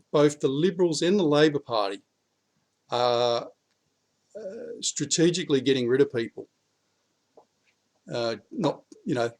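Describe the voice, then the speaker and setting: A man with an Australian accent, a little croaky after the flu, talking bluntly and casually to camera from his backyard about politics. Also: mid-range voice. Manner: blunt, swears, rising heat when urging. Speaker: prepping vlogger.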